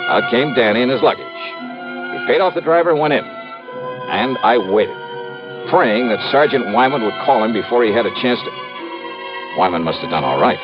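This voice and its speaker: A man's voice: mid-range voice, animated, moderate pace, pauses often, natural voice. An American man playing a confident, hard-boiled insurance investigator in a vintage radio drama, wry, clipped and casual. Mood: dry, matter-of-fact. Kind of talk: 1950s-60s radio detective drama, first-person narration. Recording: dull vintage radio recording, music behind.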